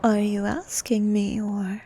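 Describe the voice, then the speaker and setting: A woman playing a bossy, haughty ancient sea queen monster in an ASMR roleplay, with a soft, partly whispered voice and regal, condescending manner. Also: mid-range voice, level tone, slightly rough. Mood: haughty, flirtatious. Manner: regal, condescending, formal, unhurried.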